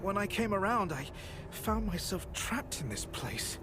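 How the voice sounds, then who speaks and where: An actor playing a timid, shaken male scientist in a video game, halting and stammering. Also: sits high, big pitch swings, background noise. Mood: shaken, grateful.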